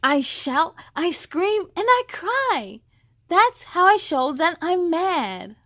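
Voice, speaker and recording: A woman reading a children's storybook as a young girl, an easily angered big sister, in an emphatic, deliberate way. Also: very high voice, sing-song, fairly bright tone, no echo, pitched above the performer's own voice, exaggerated voice. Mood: mad, upset.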